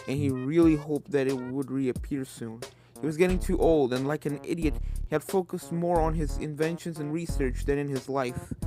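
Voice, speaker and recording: Story narrator over background music. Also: medium pitch. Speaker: fan fiction reader.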